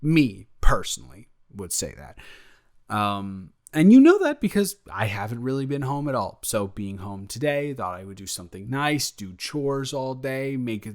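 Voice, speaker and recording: A man acts out a smitten young male roommate in a cozy romantic audio roleplay. His mid-pitched voice moves at an unhurried, slow pace. He rambles casually, cuts himself off and answers his own questions, sheepish yet playfully defensive. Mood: flustered explaining.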